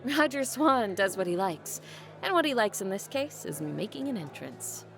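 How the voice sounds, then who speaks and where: An actress playing a sardonic, resentful adult daughter of a tycoon in an audio drama, dryly sarcastic and teasing. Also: female voice, medium pitch, very animated, moderate pace, faint music behind. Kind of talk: naturalistic audio drama acting.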